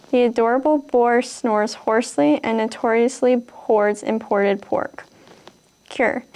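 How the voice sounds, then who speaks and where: A woman's voice: fairly high pitch, darkish tone, rough recording. A 19-year-old woman with a Wisconsin accent, reading a word list and then answering interview questions hesitantly, with many fillers. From Kenosha, Wisconsin.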